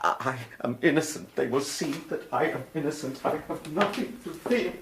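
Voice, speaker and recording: An actor playing an anxious, fussy English gentleman who stammers and speaks formally in a radio drama. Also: fairly high pitch, expressive, moderate pace. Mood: fretful. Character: anxious, fretful gentleman, easily driven to panic. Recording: noisy background ambience.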